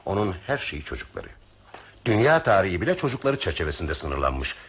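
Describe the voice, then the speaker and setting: An actor playing a coolly formal, skeptical and sardonic train passenger in a radio drama. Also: male voice, sits low, big pitch swings, brisk, fairly bright tone, slight background noise, heavily acted. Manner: formal, pointed.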